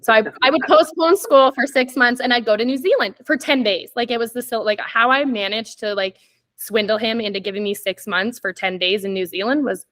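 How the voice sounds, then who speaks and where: A woman in her twenties from Canada, a podcast guest telling stories in casual chat, laughing often and filling pauses with "like" and "um". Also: fairly high pitch, a bit bright. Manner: chatty, laughs often, many "like" and "um" fillers.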